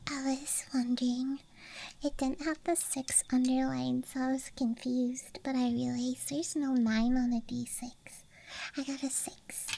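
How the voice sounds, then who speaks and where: A woman whispering softly and breathily in an ASMR style, murmuring gently as if to herself, close to the microphone. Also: high-pitched, gravelly, a little hiss or hum. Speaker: ASMR streamer playing a dice goblin persona. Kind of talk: ASMR, soft whispering to the listener.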